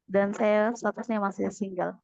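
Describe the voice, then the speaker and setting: A woman's voice: medium pitch, steady tone, vocal fry, darkish tone, no echo, highs cut off. A woman from East Kalimantan who serves in a church, introducing herself to a class over a video call. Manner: slow and measured. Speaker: church worker.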